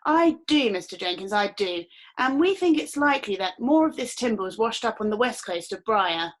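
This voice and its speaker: A woman's voice: mid-range voice, animated, moderate pace, natural voice. A woman voicing a pompous, portly male customs officer who talks in a formal, officious way.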